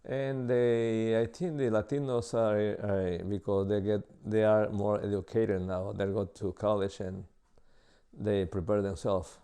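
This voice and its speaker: A man in his sixties from El Salvador, with a Salvadoran Spanish accent, answering interview questions in halting English full of pauses and fillers. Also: on the low side, little pitch movement, warm tone, clean tone. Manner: halting, many uh fillers and restarts.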